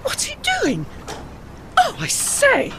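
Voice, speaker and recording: A woman's voice: extremely high pitch, wildly swooping pitch, slow pace, heavy background noise, full character voice. An actress playing a haughty, dry-witted old lady ship with an arch, formal manner in a period audio drama. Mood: indignant.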